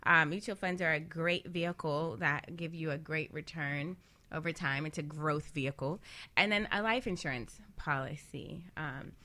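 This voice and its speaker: A woman's voice: low voice, moderate pace, sharp tone. A woman guest explaining personal finance conversationally, emphatic, asking questions and answering them herself. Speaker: panel guest.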